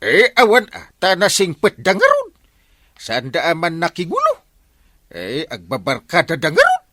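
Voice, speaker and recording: Actor as wildly animated, gullible village captain. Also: male voice, a little high, normal speed, no room sound, studio quality, full character voice. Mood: naive, pleased. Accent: Filipino Ilocano. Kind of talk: Ilocano comedy radio drama acting.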